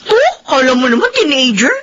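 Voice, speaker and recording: A cheeky, dramatic young aunt, animated voice, radio drama. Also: female voice, sits high, fairly quick, heavily acted. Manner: cheeky, sarcastic. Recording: dull lo-fi radio recording.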